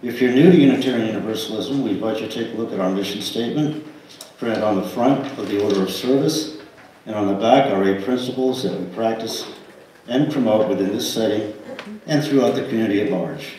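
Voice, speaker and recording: Echoey older man, low-pitched church welcome. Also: a little fast, dark tone. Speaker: church board trustee welcoming congregation. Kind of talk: scripted service welcome and announcements. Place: small church sanctuary, indoors. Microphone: pulpit microphone, picked up by distant camera. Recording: echoey church hall, distant mic, faint noise.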